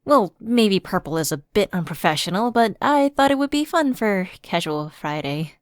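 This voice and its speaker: A woman's voice: mid-range voice, lively intonation, moderate pace, dry recording, clean studio sound, close to an everyday voice. A woman playing a personal assistant who is secretly a fallen angel, evasive and coaxing, in a conversational ASMR roleplay. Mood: nervously breezy.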